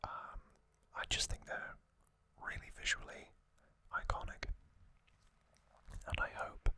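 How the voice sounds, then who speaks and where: A man hosting an ASMR video, whispering unscripted commentary in a hushed, unhurried, halting manner. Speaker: host of an ASMR channel.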